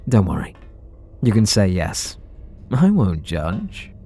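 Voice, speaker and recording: A man's voice: fairly low pitch, wildly swooping pitch, slow, mellow, slight background noise, exaggerated voice. A man voicing a bitter, sarcastic supervillain in an ASMR roleplay audio drama, talking in a sardonic, mocking way. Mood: sneering. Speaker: voice actor.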